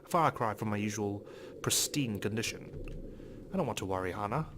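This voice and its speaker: A man's voice: fairly low pitch, animated, brisk, background noise. Man reading a game aloud dramatically. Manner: dramatic reading with joking asides. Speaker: let's player. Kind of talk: reading a visual novel aloud with commentary.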